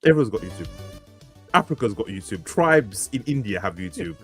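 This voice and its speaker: A man's voice: medium pitch, big pitch swings, fairly quick, slightly rough, faint music behind. A man co-hosting a podcast, rambling and laughing often, with frequent swearing. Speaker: podcast co-host, author. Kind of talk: casual banter. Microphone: studio mic.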